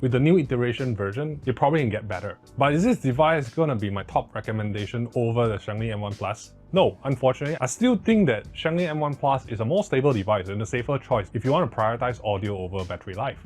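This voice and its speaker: A man's voice: mid-range voice, a little mellow. A man giving a casual spoken product review in an enthusiastic, emphatic way, often repeating words for stress. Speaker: tech reviewer, host.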